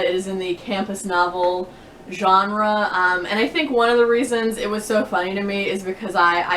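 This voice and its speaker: A woman's voice: mid-range voice, a bit bright. Female book vlogger, conversational and chatty, roomy sound with faint background noise. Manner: chatty, laughs easily. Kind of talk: casual chat to camera answering tag questions. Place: bedroom indoors.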